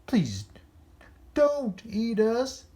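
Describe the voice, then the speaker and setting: A storybook reader voicing a pack of mocking, cowardly dogs with drawn-out, exaggerated taunts and wails. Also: mid-range voice, wildly swooping pitch, mellow, voice pushed up, full character voice. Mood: terrified.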